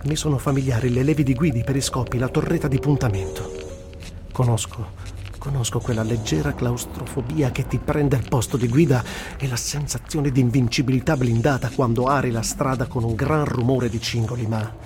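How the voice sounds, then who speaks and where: A man's voice: mid-range voice, fairly even intonation, a little fast, over music, natural voice. A man acting a gritty war reporter, theatrical. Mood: grave, vivid narrating. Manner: theatrical, swinging from muttering to shouting. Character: male Italian war journalist, gritty, sardonic, weary but daring. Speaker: voice actor reciting. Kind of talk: dramatic first-person radio reading of a war diary.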